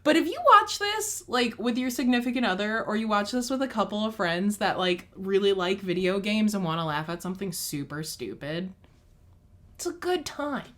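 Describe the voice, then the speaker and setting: A young woman in her twenties co-hosting a casual chat. Her voice is very bright and forward, and she talks in a chatty, upbeat, giggly way. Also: medium pitch, expressive, moderate pace. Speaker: co-host.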